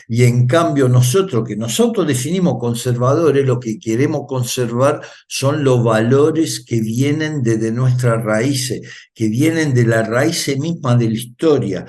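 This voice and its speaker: A man's voice: mid-range voice, measured pace, darkish tone. A man who grew up in Italy, a guest on a video call, giving emphatic political commentary with repeated phrases and frequent fillers. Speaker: interview guest.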